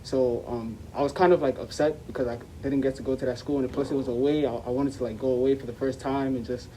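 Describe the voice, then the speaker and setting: A nineteen-year-old man from Brooklyn, a college student guest speaking hesitantly with many pauses and "um"s. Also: mid-range voice, steady tone, mellow, slight background noise, rough recording. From Brooklyn, New York. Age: teens.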